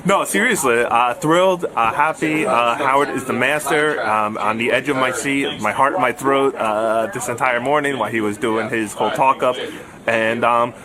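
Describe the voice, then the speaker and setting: A man with a medium-pitched voice answers interview questions casually. His delivery is halting and jokey, and a crowd is clearly heard chattering around him. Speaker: show staff member being interviewed. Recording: noisy room with crowd chatter.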